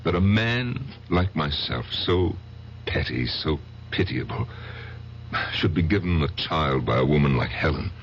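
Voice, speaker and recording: An actor playing an insecure, besotted husband who recounts his past in a halting, self-deprecating, dramatic old-time radio style. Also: extremely low pitch, animated, slight background noise, exaggerated voice. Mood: anguished. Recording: dull lo-fi old radio broadcast, music behind.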